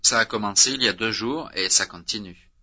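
A man's voice: lowish voice, bright, forceful tone. Man reading drills on dull tape. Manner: slow, deliberate, clearly articulated. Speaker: language course instructor.

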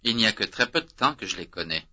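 A man reading out language drill prompts as an instructor, slow and clearly articulated. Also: lowish voice, big pitch swings, bright, forceful tone. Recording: dull lo-fi tape recording.

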